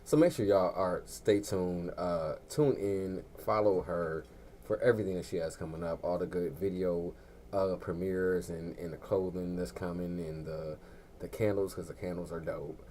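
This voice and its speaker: A young adult man hosting a radio talk show, chatting in a relaxed, slangy way with drawn-out fillers. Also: low-pitched, slight background noise. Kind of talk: casual laid-back show chat.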